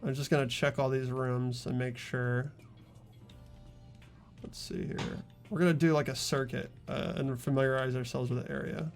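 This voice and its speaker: A man's voice: medium pitch, little pitch movement, moderate pace, soft background music. A man hosting a video game playthrough, talking casually as he explains things to the group. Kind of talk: casual let's-play commentary.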